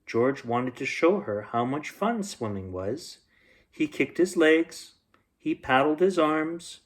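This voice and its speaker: A man reading a children's bedtime story aloud, expressively, with dramatic emphasis and pauses. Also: mid-range voice.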